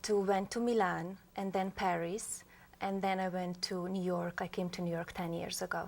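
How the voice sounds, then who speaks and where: A woman speaks with a gravelly, creaky edge. Her answers are soft-spoken and brief, as fits a guest in a TV interview. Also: lowish voice, flat delivery, normal speed. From Slovenia.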